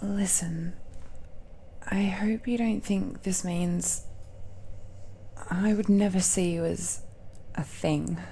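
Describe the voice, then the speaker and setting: A woman's voice: lowish voice, fairly even intonation, slow, creaky, long pauses, dark tone, a little hiss or hum, rough recording. A woman playing a tender, possessive dragon-descended girlfriend in an intimate romantic audio roleplay, speaking hesitantly and breathily, often near a whisper. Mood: earnest, hesitant.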